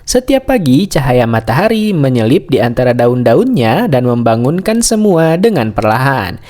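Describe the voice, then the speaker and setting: A man's voice: mid-range voice, very animated, normal speed, a little mellow. A man narrating a scripted bedtime story for children.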